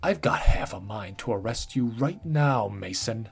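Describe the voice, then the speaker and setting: A man's voice: animated, measured pace, gravelly, slightly rough, heavily acted. A man voicing a brutish, bullying male police officer who growls and barks. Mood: snarling. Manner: low growling, barking shouts. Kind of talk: storybook reading.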